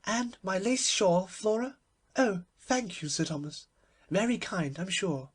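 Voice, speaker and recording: A man's voice: sits high, expressive, measured pace, slightly rough, voice pushed up, heavily acted. A man voicing a gushing, dithery old aristocratic duchess in an audiobook, fluttery and effusively polite. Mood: gushing, flustered. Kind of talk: literary audiobook character voice.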